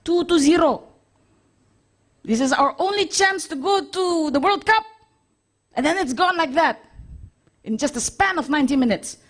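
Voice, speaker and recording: A woman's voice: sits high, lively intonation, normal speed, long pauses. A young woman from Indonesia, a singer chatting with her audience on stage in a chatty, self-deprecating way.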